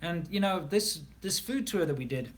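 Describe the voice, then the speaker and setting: A man's voice: high voice, animated, normal speed, a little hiss or hum. A man from South Africa with a South African English accent, hosting a casual live stream and answering viewer questions in a chatty way, with frequent ums and repeated words.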